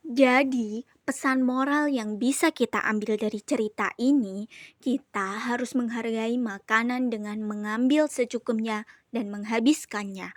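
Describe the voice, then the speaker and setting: A young woman narrating a children's story in a deliberate, expressive way, as a student storyteller. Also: on the high side. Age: young adult.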